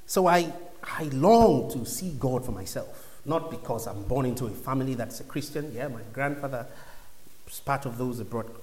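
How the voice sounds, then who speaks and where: A man's voice: medium pitch, moderate pace, darkish tone. Nigerian-born man testifying in an echoey hall. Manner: reflective, conversational, drops to near whispers. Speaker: church speaker giving a testimony. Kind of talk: personal testimony to a congregation. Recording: echoey hall with long reverb.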